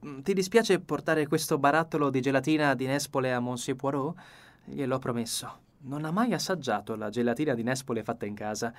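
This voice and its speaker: A man's voice: medium pitch, brisk, close to an everyday voice. A man voicing a nosy, insistent middle-aged sister in an audiobook, with a pointed, prodding manner. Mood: coaxing. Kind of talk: audiobook character dialogue.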